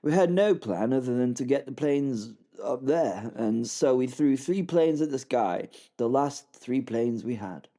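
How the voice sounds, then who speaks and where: A man's voice: medium pitch, expressive, measured pace, hardly any pauses, warm tone. A man doing a posh English WWI pilot. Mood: dramatic. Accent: upper-class English. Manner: rambling, self-deprecating, stammers and restarts. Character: plucky young British WWI pilot, future wing commander. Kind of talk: first-person audio drama narration.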